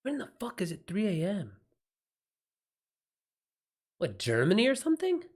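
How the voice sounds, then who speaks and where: A man livestreaming in a casual chat style, talking informally and slangily with animated reactions to his viewers. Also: high voice, moderate pace, breathy edge. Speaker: live streamer and author answering viewer questions.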